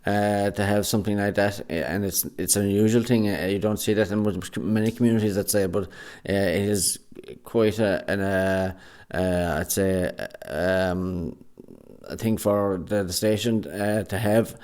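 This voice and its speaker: An Irish man, a radio drama actor, chatting in an interview with many pauses and fillers in a recording studio. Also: lowish voice, fairly even intonation, a little mellow, clean tone. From Ireland. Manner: hesitant, many fillers and restarts. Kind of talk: casual interview chat.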